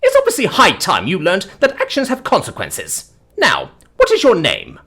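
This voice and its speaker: A man's voice: high voice, huge pitch swings, normal speed, sharp tone, pitched above the performer's own voice, heavily acted. A man voicing a haughty, indignant noblewoman in an audiobook, pompous and admonishing in manner. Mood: threatening, stern. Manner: pompous, admonishing, ornate speech. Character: haughty, indignant, scolding noblewoman, a snobbish busybody.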